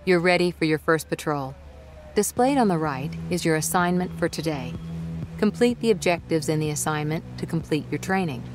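A woman's voice: deep voice, lively intonation, normal speed. A woman voicing a composed tutorial guide in a video game, giving scripted, instructional briefings to new players. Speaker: tutorial narrator. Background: music.

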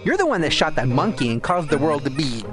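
A man plays a blunt, fault-finding sidekick in a comic audio play. The voice is highish and animated, with music behind. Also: fast talker. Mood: sardonic. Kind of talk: comic audio sketch acting.

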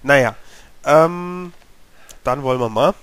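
A German-speaking man with a cold, slightly hoarse, giving chatty commentary while playing a horror game and talking to his character. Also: medium pitch, animated, slow, a bit bright. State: has a cold, clearing his throat. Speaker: let's play gamer. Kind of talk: casual gameplay commentary.

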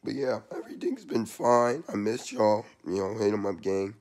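Young man, hoarse worn voice, lowish pitch, loose slangy chat, small room, webcam up close. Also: steady tone, normal speed, a little mellow. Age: young adult. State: hoarse, losing his voice. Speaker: sports channel vlogger. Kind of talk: casual vlog update. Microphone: laptop webcam up close.

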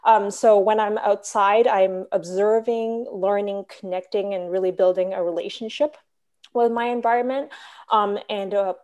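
A young adult woman from Hong Kong presenting her paintings on a video call, measured and full of "um" and "uh". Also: mid-range voice, fairly even intonation, dark tone. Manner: measured, with frequent "um" and "uh". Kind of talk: online slide presentation. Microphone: laptop webcam video call.

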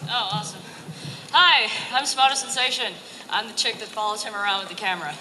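A hoarse woman panelist bantering casually and teasingly at a convention. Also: mid-range voice, brisk, bright, forceful tone. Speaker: panelist, voice actor. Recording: crowded hall with some noise.

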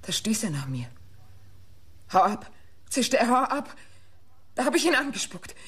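Husky, volatile jilted young woman. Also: on the high side, big pitch swings, unhurried, heavily acted. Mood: anguished confession. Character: bitter, defiant jilted young woman suspect, breaking down. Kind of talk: German radio crime drama acting.

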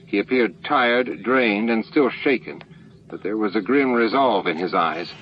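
A man's voice: fairly low pitch, animated, measured pace. A man reading an audiobook in a scripted narration style. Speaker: audiobook reader. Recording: dull, noisy lo-fi book-on-tape recording.

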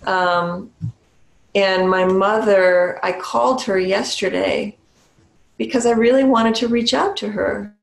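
A woman's voice: medium pitch, fairly even intonation, creaky, echoey room, slight background noise, poor audio. A woman from New Hampshire who is a Zen priest and meditation teacher, talking thoughtfully about her own story on a video call from a small home room. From New Hampshire, USA. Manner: thoughtful, unhurried, with small restarts and soft laughs. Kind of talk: reflective personal sharing on a video call. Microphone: webcam on a video call.

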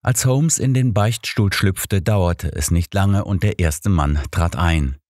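Male narrator, low voice, scripted detective audiobook, dry close recording. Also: takes it slowly, darkish tone, no echo.

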